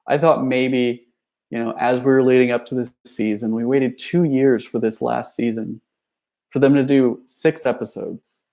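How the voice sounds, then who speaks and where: Young male streamer, gravelly voice, loose rambling delivery full of fillers. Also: medium pitch, steady tone, moderate pace, dark tone. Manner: rambling, many fillers and restarts; reads viewer chat messages aloud. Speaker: youtuber. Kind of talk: casual livestream chat.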